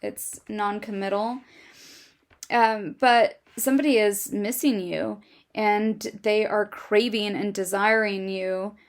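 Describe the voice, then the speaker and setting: A woman giving a tarot reading, musing slowly and thinking aloud with a soft, quiet voice into a close microphone. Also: fairly even intonation. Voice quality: soft, low-volume voice. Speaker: tarot reader. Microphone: microphone right in front of her.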